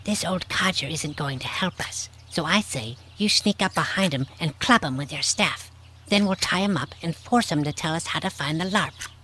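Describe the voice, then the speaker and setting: Woman acting a scheming young adventuress, prim, impatient, condescending, slightly low voice, faint outdoor ambience. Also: normal speed, bright, forceful tone, slight background noise. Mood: scheming, cool. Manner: prim, condescending, formal wording. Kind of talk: scripted audio drama acting.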